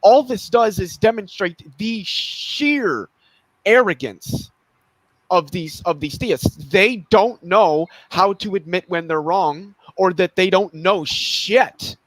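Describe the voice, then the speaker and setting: A man's voice: high voice, slow pace, fairly bright tone, dry recording, low-quality recording. Indignant, blunt man, dry close mic. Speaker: co-host of a debate review stream. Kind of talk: heated casual chat.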